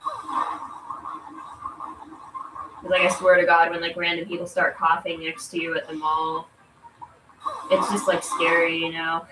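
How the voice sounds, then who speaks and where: A woman's voice: on the low side, monotone, measured pace, pauses often, noisy background. A congested woman streamer chatting casually while gaming, in a rambling, informal way full of "like". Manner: rambling, informal, filler-heavy "like". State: congested from allergies. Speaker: game streamer. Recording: echoey room, distant mic, loud music. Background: loud video game music.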